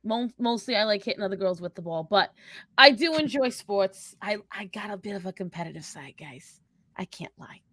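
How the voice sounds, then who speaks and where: A woman's voice: medium pitch, expressive, moderate pace, a bit bright, dry recording. A woman voicing a brash, foul-mouthed, confrontational young woman with bossy sass, bantering in character in a live Q&A. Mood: gushing, competitive. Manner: confrontational, sassy, swearing, slangy.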